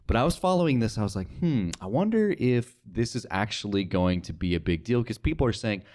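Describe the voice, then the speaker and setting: A man's voice: on the low side, lively intonation, a little fast, darkish tone. A man with an American accent talking casually as an interviewer on a conversational show.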